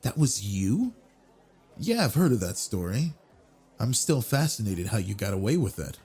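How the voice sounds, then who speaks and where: A man plays an obsessive yandere charmer who hides something dark under a smooth manner. His voice sits low, mellow and husky, and he takes his time over every word, close on the mic. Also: very animated, moderate pace, faint music behind. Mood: flirty. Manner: quiet brooding inner monologue, then smooth flirty charm. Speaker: audio roleplay performer. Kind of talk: scripted audio roleplay.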